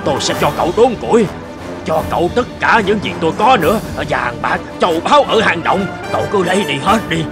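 A man's voice: sits high, big pitch swings, a little fast, music in the background. An actor playing a frightened, submissive giant begging for his life in a fairy-tale cartoon. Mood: frightened, pleading. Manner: pleading, submissive.

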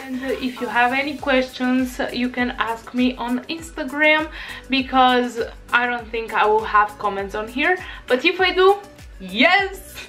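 A woman's voice: high voice, a bit bright. A teenage girl from Bulgaria with a Bulgarian accent, chatting to camera in her bedroom, bubbly and giggly with lots of fillers. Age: teens. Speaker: vlogger. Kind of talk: casual chat to camera. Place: small bedroom, indoors. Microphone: camera in front.

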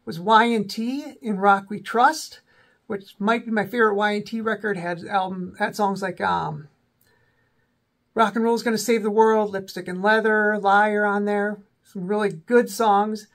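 A man in his forties with a cold and a slightly sore, raspy throat, casually talking through rock albums as host of his own channel, with many ums and self-corrections. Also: sits high, fairly even intonation, a little slow, fairly bright tone. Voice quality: raspy, voice may crack. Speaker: rock music video host. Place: small indoor room with a backdrop.

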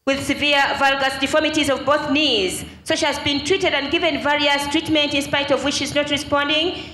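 A woman presenter reading out and explaining a report in a measured, formal way. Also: high voice, little pitch movement, sharp tone. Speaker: ministry presenter. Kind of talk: formal narration. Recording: echoey room with faint noise.

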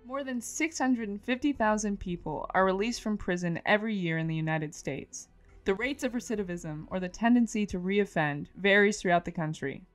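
A woman reading scripted documentary narration in a measured, informative way. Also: medium pitch, faint music behind.

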